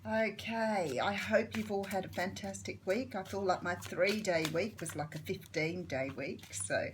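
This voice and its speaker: A middle-aged Australian woman with a slightly croaky morning voice, chatting casually through a tarot reading with lots of fillers. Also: medium pitch, measured pace, background noise. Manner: chatty, friendly, filler-heavy. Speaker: tarot reader.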